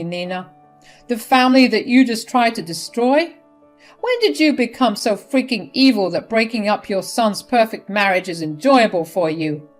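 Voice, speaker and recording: A woman's voice: on the high side, voice pushed up. A woman voicing a stern middle-aged husband who reproaches his wife, over faint music.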